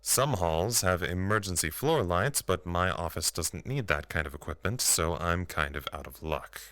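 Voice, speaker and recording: An actor playing an earnest, chatty facility radio operator, giving a rambling, matter-of-fact log in an audio drama. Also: male voice, sits low, moderate pace, a bit bright, no echo. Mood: calm, explanatory.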